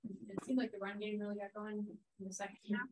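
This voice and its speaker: A woman's voice: mid-range voice, steady tone, fast talker, low-quality recording. A woman working as a sports reporter, putting questions at a press conference.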